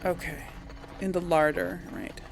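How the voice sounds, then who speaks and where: A woman's voice: fairly low pitch, fairly even intonation, unhurried, gravelly, a little hiss or hum, low-quality recording. A woman giving casual game commentary, musing aloud to herself and her audience. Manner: musing, talks to self and audience. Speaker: game streamer, let's-player. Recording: game music and sounds behind.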